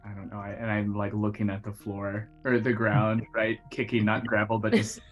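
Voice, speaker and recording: A man's voice: fairly low pitch, monotone, normal speed, muffled recording. A man playing a tabletop roleplaying game, chatting casually in and out of character with repeated words. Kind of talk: casual improvised in-character chat. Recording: music running behind the voice.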